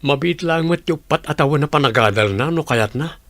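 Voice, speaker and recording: An actor playing an easygoing, curious young construction worker in casual audio drama dialogue. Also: male voice, on the high side, brisk, fairly bright tone, no echo, studio quality. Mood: curious, admiring.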